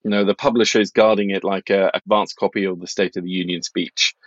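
A man's voice: deep voice, flat delivery, fast, no room sound, dull audio. A middle-aged man from Norway talking casually and analytically as an interview guest, blunt and opinionated in an informal way. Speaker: financial journalist and author, interview guest.

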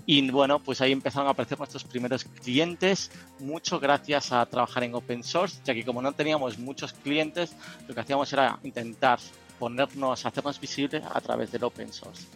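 A man's voice: medium pitch, fairly even intonation, brisk, few pauses, a bit bright, faint music behind. Chatty man with a Spanish accent. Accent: Spain Spanish. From Spain. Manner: talkative, rambling with many fillers. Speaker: guest, software company founder. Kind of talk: casual interview talk.

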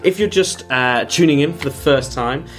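A man co-hosting a podcast, reading the intro in a halting way full of fillers. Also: on the high side, over music. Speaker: podcast co-host.

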